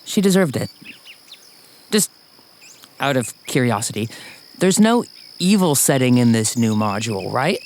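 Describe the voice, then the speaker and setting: Friendly, awkward guy: gentle, hesitant, naturalistic drama, mid-pitched, soft outdoor background. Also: lively intonation, a little slow, long pauses, a little hiss or hum. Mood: awkward, earnest. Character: friendly, slightly awkward man chatting with a close friend. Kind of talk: naturalistic audio drama acting. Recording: faint outdoor ambience with insects.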